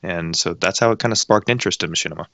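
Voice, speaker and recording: A man's voice: deep voice, racing, vocal fry, sharp tone. A young man in his late teens, a voice actor and director, answering interview questions in a hesitant, modest way with frequent ums. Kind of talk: casual interview chat.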